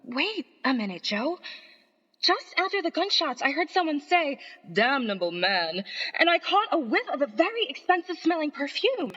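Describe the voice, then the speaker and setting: A woman's voice: sits high, very animated, normal speed, a bit bright. A woman in a radio play acting a sweet, wide-eyed wronged young damsel. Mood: thoughtful. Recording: dull sound with long reverb.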